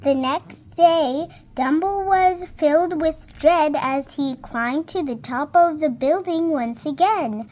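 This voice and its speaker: A girl of about seven reading a storybook aloud in a careful, halting, word-by-word way. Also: high voice, a little mellow. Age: child, about seven. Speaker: young reader.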